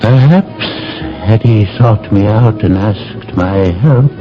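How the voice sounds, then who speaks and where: An actor playing a grave, authoritative counselor of an ancient Greek city, speaking solemnly and theatrically in a radio drama. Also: male voice, on the low side, slow pace. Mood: somber, reflective. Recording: dull old radio broadcast with music.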